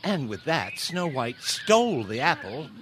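A man narrating a fairy-tale radio play in a scripted storybook style, with dramatic, deliberate pauses. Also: medium pitch, sing-song, crowd noise, rough recording.

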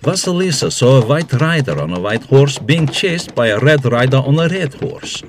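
A man's voice: medium pitch, sing-song, moderate pace, slight background noise. A man narrating a scripted fairy tale as a storyteller.

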